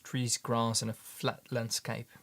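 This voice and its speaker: Halting Dutch-accented man, chatty. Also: mid-range voice, monotone, creaky, fairly bright tone. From Netherlands. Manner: halting, repeats words, many ums. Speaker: livestream host, paint maker. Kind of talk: casual livestream chat with viewers.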